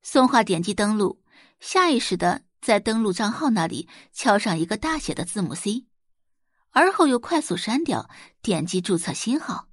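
A woman narrating a web novel as a scripted audiobook, telling the story in an expressive, dramatised way. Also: mid-range voice, normal speed, no echo, clean studio sound.

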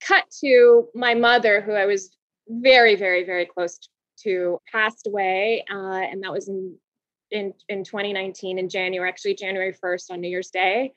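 A woman in her forties from Hawaii, a guest telling her story in an interview, bubbly and quick to laugh, restarting words. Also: mid-range voice, fairly even intonation, no room sound, lo-fi sound.

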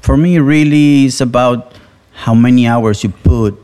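A man from Venezuela who speaks English as a second language, talking slowly and hesitantly as an interview guest. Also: mid-range voice, warm tone. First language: Spanish. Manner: slow and hesitant, tag questions. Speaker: guest musician and sound engineer. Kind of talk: relaxed interview talk.

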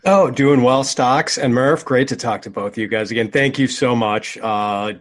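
A man's voice: medium pitch, normal speed. An American man appearing as a podcast guest, modest and friendly, talking with plenty of fillers. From United States. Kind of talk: casual chat.

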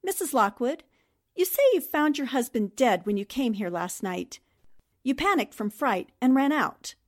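A woman's voice: on the high side, animated, moderate pace. A woman playing a shrewd, self-assured newspaperwoman sleuth in 1940s radio mystery style, explaining things precisely and methodically. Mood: composed.

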